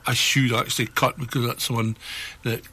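A man's voice: mid-range voice, level tone, a little fast, bright, forceful tone, husky. A middle-aged man co-hosting morning radio, rambling and self-deprecating with a wry humor. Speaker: radio co-host. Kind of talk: casual morning radio chat.

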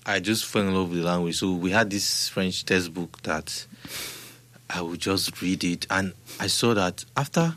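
A Nigerian musician telling stories in a relaxed, rambling way during a casual interview. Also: male voice, sits low, normal speed. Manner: relaxed, rambling storytelling with restarts. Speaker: musician guest.

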